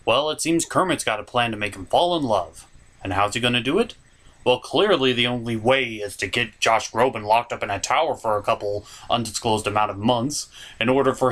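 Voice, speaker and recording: A man's voice: mid-range voice, a bit bright. A man hosting a scripted comedic review, with theatrical, exasperated reactions. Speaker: host of a review show.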